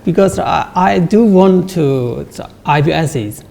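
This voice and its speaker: A man, a student monk, answering in a halting way with many repeats and frequent "sir". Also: on the high side, lively intonation, creaky, over a noisy crowd. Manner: halting, says sir often, repeats words. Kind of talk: interview answers.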